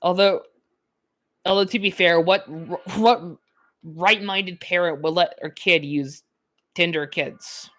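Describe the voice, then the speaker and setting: A nineteen-year-old woman talks over her gameplay in a loose, rambling way, stacking fillers and breaking into little laughs. Her voice sits a bit low for a woman. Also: slow, fairly bright tone. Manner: chatty, filler-heavy, laughs often. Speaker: gaming YouTuber. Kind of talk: rambling let's-play commentary.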